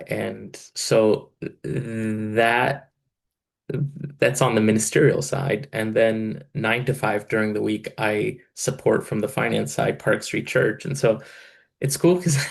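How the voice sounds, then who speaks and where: A man's voice: on the low side, vocal fry. A man from Egypt, in his thirties, a church financial controller and part-time pastor, answering interview questions casually with many fillers. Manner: relaxed, many fillers, laughs between phrases.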